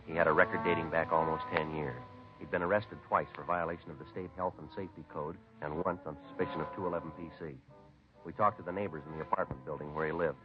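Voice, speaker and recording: An actor playing a terse, businesslike police sergeant in a vintage radio crime drama, with clipped, deadpan delivery. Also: male voice, sits low, lightly acted. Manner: clipped, deadpan, matter-of-fact. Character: terse, businesslike male police sergeant narrating his case. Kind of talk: 1950s radio crime drama acting and narration. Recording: muffled vintage radio recording with music.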